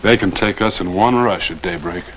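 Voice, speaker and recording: An actor playing a skeptical, laconic army scout in an old American TV western, dry, blunt and folksy. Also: male voice, deep voice, moderate pace, a bit bright. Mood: grim warning. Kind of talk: mid-century American TV western acting. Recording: noisy old TV soundtrack with rain.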